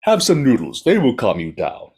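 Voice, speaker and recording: A man voicing a calm, sly noodle seller who talks slowly and soothingly. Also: mid-range voice. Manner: slow, soothing, chuckling.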